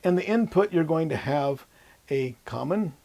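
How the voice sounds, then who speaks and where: Older male instructor, mellow and gravelly, informal explanatory lecture delivery. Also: fairly high pitch, animated, moderate pace. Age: 60s or older. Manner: informal, thinking aloud while drawing. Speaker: electronics instructor. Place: small indoor room at a whiteboard. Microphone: lapel mic.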